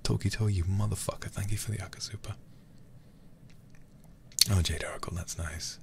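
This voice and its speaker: A man's voice: low-pitched, steady tone, normal speed, creaky, darkish tone, a little hiss or hum. A man livestreaming a game ASMR-style, murmuring to himself and to his viewers close to the microphone. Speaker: livestreamer playing a horror game. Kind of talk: whispered ASMR game stream chat.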